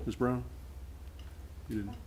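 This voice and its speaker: A man's voice: medium pitch, level tone, takes it slowly, darkish tone, slight background noise. A man chairing a council meeting in formal parliamentary procedure, speaking into a meeting microphone. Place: public meeting room.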